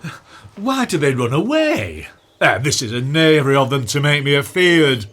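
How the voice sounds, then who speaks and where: An actor playing a bumbling, boastful weaver turned donkey-headed fool in a Shakespeare radio comedy, blustering and stammering with lots of oohs and uhs. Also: male voice, fairly high pitch, sing-song, normal speed, a bit bright. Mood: defiant bluster.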